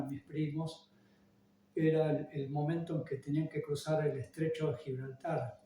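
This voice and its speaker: Slow-talking Argentine man, hesitant. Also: a little high, mellow, recorded from a distance, slight background noise. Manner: hesitant, many fillers and restarts. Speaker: interviewee. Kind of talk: relaxed personal recollection. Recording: roomy echo, voice off-mic, faint ambience.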